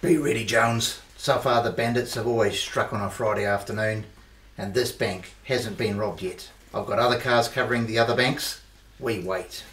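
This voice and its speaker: A man's voice: lowish voice, fairly even intonation, moderate pace, fairly bright tone, lightly acted. A man playing a blustering middle-aged police sergeant in an amateur radio play, barking orders. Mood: steady. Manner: barks orders, frantic in the chase. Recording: distant, roomy, noisy amateur recording.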